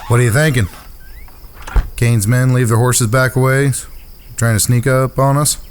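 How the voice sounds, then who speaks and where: A man playing an excitable, stubborn frontier outlaw in an audio drama, talking in a folksy drawl. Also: medium pitch, measured pace, slight background noise, natural voice. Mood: tense, searching. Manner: folksy, ungrammatical cowboy drawl. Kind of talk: western audio drama acting.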